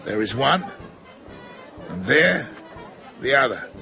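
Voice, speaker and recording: An actor playing a sinister, formally polite carnival master in a radio drama, speaking with deliberate menace. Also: male voice, mid-range voice, very animated, gravelly, sharp tone, full character voice. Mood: smooth menace. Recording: muffled radio broadcast with music behind.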